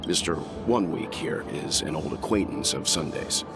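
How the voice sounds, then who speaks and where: Composed mature mentor. Low, measured, authoritative; heavy score behind. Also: male voice, lively intonation. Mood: calm. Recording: loud game music behind.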